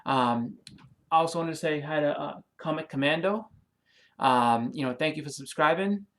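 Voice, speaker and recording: A man's voice: fairly high pitch, moderate pace, fairly bright tone. A man with a Boston accent, rambling through a casual vlog with many ums and you-knows, friendly and apologetic as he thanks new subscribers. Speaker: comic and movie YouTuber.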